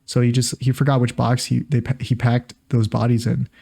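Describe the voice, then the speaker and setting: A man with a mellow, relaxed voice at an average pitch hosts a casual podcast. He chats in a joking, teasing way and makes his points with mock earnestness. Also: fairly quick, vocal fry. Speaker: podcast host. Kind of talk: casual comedic chat.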